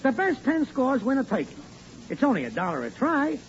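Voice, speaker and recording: Sly male buddy for vintage radio comedy: very animated pitch, knowing, muffled recording. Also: a little fast, exaggerated voice. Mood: persuasive. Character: wisecracking male neighbor pal, sly and casual. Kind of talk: 1940s radio comedy acting. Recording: muffled old radio recording, faint noise.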